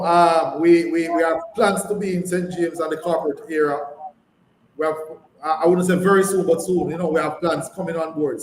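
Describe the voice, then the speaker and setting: Echoey Jamaican man, company executive. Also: on the high side. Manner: emphatic, repeats words and phrases. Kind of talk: live earnings call answers. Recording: echoey room, background noise.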